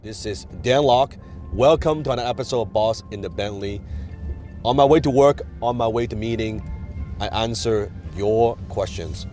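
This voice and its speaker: A man's voice: lowish voice, moderate pace, darkish tone, soft background music. A man from Hong Kong whose first language is Chinese, hosting a casual advice talk and telling his story with many fillers and restarts. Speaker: host. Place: in a car.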